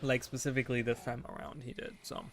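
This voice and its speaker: A man's voice: medium pitch, flat delivery, fast talker, fairly bright tone, slight background noise. Rambling young man streaming a game. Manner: rambling, full of "like" and "you know"; thinking aloud, self-correcting. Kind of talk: casual chat while gaming.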